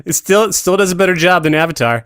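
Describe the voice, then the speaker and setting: A man's voice: high-pitched, lively intonation, quick pace, fairly bright tone, no room sound, clean studio sound. A man talking casually as a podcast guest, musing about films with hesitant phrasing. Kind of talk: casual film discussion.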